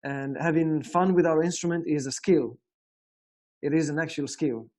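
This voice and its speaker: Italian-accented man with a mellow voice. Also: on the high side, normal speed. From Italy. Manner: hesitant, many fillers and restarts. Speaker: guitar teacher. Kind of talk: casual spoken lesson.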